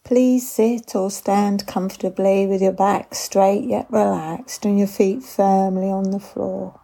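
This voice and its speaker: Very slow, soft woman guiding meditation. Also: mid-range voice, fairly even intonation, gravelly. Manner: gentle, unhurried instructions with long pauses. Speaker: guided meditation instructor. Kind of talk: guided body scan meditation.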